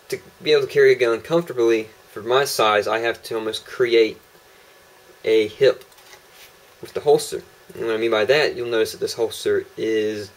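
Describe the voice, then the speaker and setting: Young man, slightly low voice, relaxed rambling delivery, many fillers, camera mic on a tripod. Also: low-quality recording. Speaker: holster maker showing prototypes. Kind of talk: casual product demo vlog.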